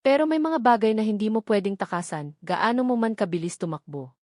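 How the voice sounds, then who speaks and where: A woman with a Filipino accent narrating a scripted dramatic story as an audiobook, in a deliberate, emphatic way with short dramatic phrases. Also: mid-range voice, expressive, mellow, no room sound, clean studio sound.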